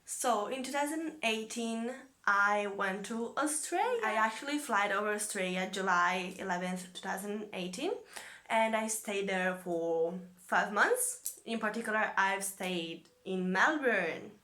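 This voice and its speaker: Bright-voiced Italian teen woman. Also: medium pitch, lively intonation, a little slow. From Italy. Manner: chatty, with frequent fillers. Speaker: former exchange student. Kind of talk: casual vlog chat.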